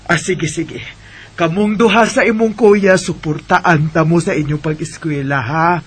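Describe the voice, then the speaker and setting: A kind older single lady in a bisaya radio drama, visayan accent, talks slow and gentle. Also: on the low side, husky. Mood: kindly, reassuring. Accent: Visayan Filipino. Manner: gentle, reassuring. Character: kind, generous older unmarried woman helping a family.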